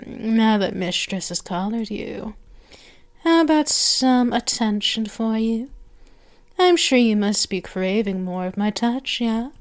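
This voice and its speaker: A woman's voice: fairly high pitch, smooth. A woman performing an intimate ASMR roleplay as a doting, teasing, possessive vampire mistress, cooing and sometimes slipping into whispers. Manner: slow, cooing, with whispered phrases and drawn-out words.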